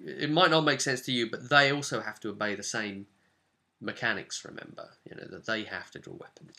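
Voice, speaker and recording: A man casually recounting a tabletop role-playing session as game master, in an animated, chatty way with asides. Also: on the low side.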